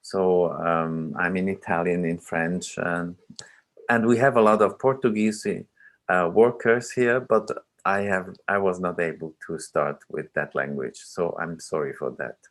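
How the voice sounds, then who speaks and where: A man in his sixties, originally from Iraq, speaking as a film director at a remote panel, slow and halting with many fillers and restarts. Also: low-pitched, fairly even intonation.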